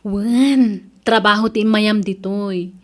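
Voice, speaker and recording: A woman playing a brisk, bossy friend. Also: mid-range voice, steady tone, lightly acted. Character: brisk friend who runs a parlor abroad.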